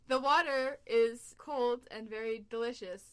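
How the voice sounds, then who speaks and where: A woman's voice: fairly high pitch, slow pace, clean tone. A young woman chatting casually with friends, rambling and filling gaps with um and uh, often giggling. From United States. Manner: rambling with frequent fillers and giggles. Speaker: cover singer. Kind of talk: casual group chat.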